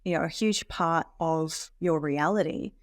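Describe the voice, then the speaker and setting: A woman's voice: low voice, measured pace, no echo, clean studio sound. A woman hosting a casual interview podcast, asking questions and reacting with short encouraging responses. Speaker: podcast host.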